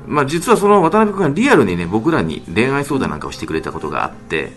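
A man talking on a radio show, explaining things politely with frequent drawn-out hesitations. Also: mid-range voice, very animated. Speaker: band leader, guest talker on radio show. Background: background music.

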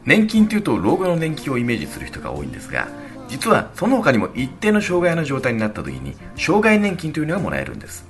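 A man's voice: a little high, huge pitch swings, quick pace. A man speaking politely and conversationally as a radio show's leader and commentator. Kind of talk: radio talk and explanation. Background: faint music.

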